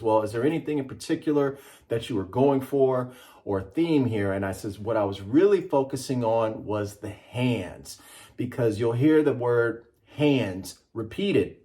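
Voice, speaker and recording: A man's voice: medium pitch, darkish tone. A middle-aged man, a children's author, presenting and reading a picture book aloud with an emphatic, rhythmic delivery.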